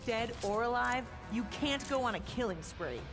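Tough soldier woman over loud music. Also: a little high, expressive, normal speed. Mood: stern. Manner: firm, blunt. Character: tough, no-nonsense female soldier. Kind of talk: video game character dialogue.

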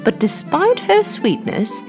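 A woman's voice: high-pitched, wildly swooping pitch, slow. A woman narrating a scripted children's bedtime story as the storyteller. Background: background music.

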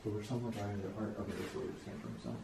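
A man's voice: lowish voice, sing-song, very fast, creaky, warm tone. A young man, talking casually in short, matter-of-fact remarks while exploring a building. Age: young adult. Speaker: urban exploring companion. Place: indoors, empty dark school rooms and hallways. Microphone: picked up by companion's handheld camera. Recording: very noisy, echoey camera audio.

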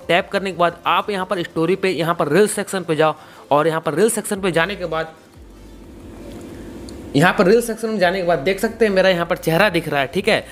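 A man's voice: sits high, a bit bright, a little hiss or hum. A man in his twenties with an Indian accent, hosting a casual step-by-step tutorial in a repetitive, instructional way. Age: 20s. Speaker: YouTube tutorial host.

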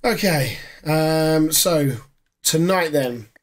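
A hoarse man in his thirties, co-hosting a casual gaming podcast from a small home room, rambling with plenty of fillers. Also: on the high side, extremely slow, slight background noise. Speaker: podcast co-host.

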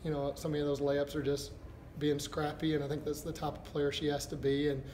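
A middle-aged man, a basketball head coach, answering postgame press questions in a halting, thinking-aloud way. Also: fairly high pitch, completely flat delivery, warm tone. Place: indoors before a backdrop, a step from camera. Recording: echoey room with background noise.

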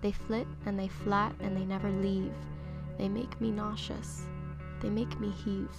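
A young woman narrating a rhyming spoken poem in a measured, rhythmic way. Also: medium pitch, level tone. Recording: plucked guitar music behind. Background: guitar music.

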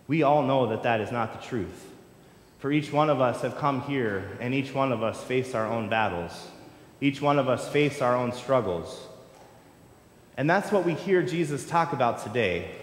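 A man in his thirties preaches with impassioned, repetitive cadence, his voice sitting a little higher than most men's. The sound carries through a large church sanctuary with a long, echoing reverb. He is picked up from a distance by the room microphone. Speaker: pastor. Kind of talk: sermon. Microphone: distant room mic, wide camera.

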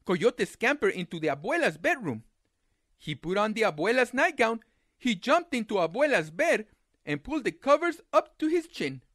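A man reading a children's picture book aloud as a storyteller, with dramatic, deliberate phrasing. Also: high voice, very animated, fairly bright tone.

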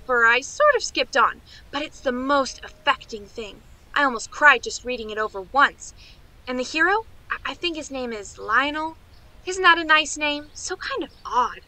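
A woman's voice: on the high side, pushed and bright, heavily acted, rough recording. A woman playing a chatty, naive young niece in a radio play, gushing and rambling in a girlish way. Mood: excited, rambling. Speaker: actress.

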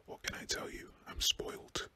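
A man's voice: moderate pace, close to an everyday voice. Man voicing a pushy whispering girl. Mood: sarcastic, insistent. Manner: demanding, snappy. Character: pushy, spoiled, sarcastic young girl.

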